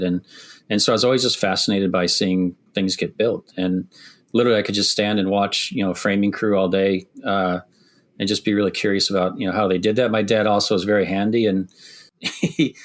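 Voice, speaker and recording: A middle-aged man from Wisconsin with a Midwestern accent softened by years in Canada, answering interview questions thoughtfully with many restarts. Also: on the low side. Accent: Midwestern American, softened by years in Canada. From Wisconsin, USA. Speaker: construction executive guest.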